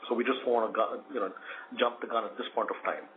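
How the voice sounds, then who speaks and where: A man answering as a company executive on an earnings call, in measured corporate phrasing over a phone line. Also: on the low side, steady tone. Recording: tinny phone line with faint noise.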